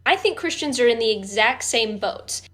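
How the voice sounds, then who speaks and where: A woman's voice: fairly high pitch, lively intonation, normal speed, fairly bright tone. A woman delivering a scripted, argumentative presentation.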